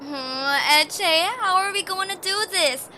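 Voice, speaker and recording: A woman's voice: very high-pitched, takes it slowly, bright, forceful tone, a little hiss or hum, full character voice. A woman playing a plucky, slightly sarcastic young adventurer in a fan audio drama. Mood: puzzled, determined. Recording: music clearly behind.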